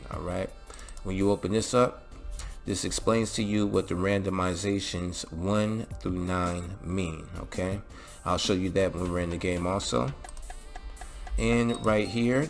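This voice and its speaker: Male tutorial host, very deep voice with gravelly fry, casual and instructional, music underneath. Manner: instructional, often checks in with "all right" and "okay". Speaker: video host giving mod installation tutorial. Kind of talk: casual step-by-step screen tutorial narration.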